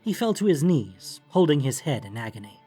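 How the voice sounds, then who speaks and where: Casting: male audiobook narrator, medium pitch, dramatic scripted fantasy reading with soft music behind. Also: big pitch swings, a little fast, breathy edge.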